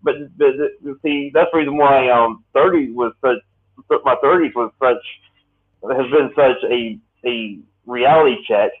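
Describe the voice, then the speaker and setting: A man in his late thirties hosting a casual podcast chat, talking in a rambling, halting way with many restarts. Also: medium pitch. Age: late 30s. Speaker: podcast host. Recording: tinny phone-like line.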